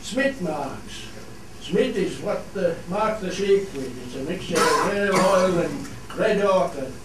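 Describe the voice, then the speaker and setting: Radio play part: male actor as Cumbrian fell shepherd, plain and unhurried, very echoey, noisy recording. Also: high-pitched, lively intonation. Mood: explanatory. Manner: plain, explanatory, measured. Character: plain-spoken Cumbrian fell shepherd explaining local customs. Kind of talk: scripted radio play monologue in dialect. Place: theatre studio. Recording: echoey hall, distant mic, clear noise.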